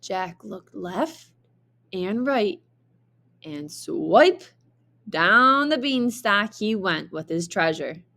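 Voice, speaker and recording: Slow, expressive woman reading a storybook. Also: medium pitch, gravelly. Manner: expressive, deliberate. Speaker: teacher reading aloud. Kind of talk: children's storybook narration.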